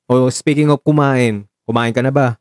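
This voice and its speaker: Male actor, young man, Filipino accent, playful banter, easily flustered, radio drama dialogue, medium pitch, dry sound. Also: steady tone, moderate pace, a little mellow, no room sound, lightly acted. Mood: warm, teasing. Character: teasing, playfully flustered young man hosting his date at home.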